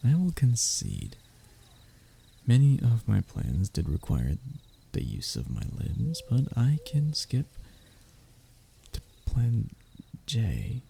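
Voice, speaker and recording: A man's voice: mid-range voice, wildly swooping pitch, slow pace, gravelly, pauses often, warm tone, slight background noise, full character voice. A man playing a stubborn, scheming, lovestruck young human royal held captive, in a close audio roleplay with flowery wording and flustered stammers. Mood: scheming, persuasive. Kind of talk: audio roleplay acting, addressing the listener closely.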